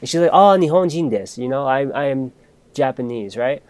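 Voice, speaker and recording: A man's voice: medium pitch, lively intonation, a little fast. Rambling young man telling a story. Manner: rambling, many fillers and restarts. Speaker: podcast co-host. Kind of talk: casual storytelling chat.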